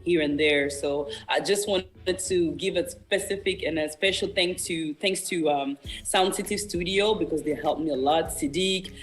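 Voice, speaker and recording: A man's voice: on the high side, normal speed, few pauses, a bit bright. A young man whose first language is French chats as a guest on a radio show. He speaks in an earnest, encouraging way, with music faint in the background. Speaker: independent singer-songwriter, interview guest. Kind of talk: casual radio interview talk.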